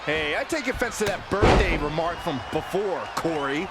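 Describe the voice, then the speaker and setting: A man's voice: a little high, expressive, normal speed. An American man giving sports commentary for a wrestling video game. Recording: very loud background noise. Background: loud arena noise with slams.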